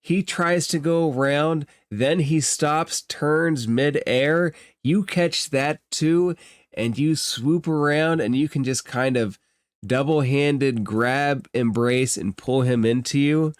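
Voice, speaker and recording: A man narrating a tabletop fantasy game as game master, describing scenes in improvised, halting phrases and thinking aloud. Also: on the high side, no room sound, clean studio sound.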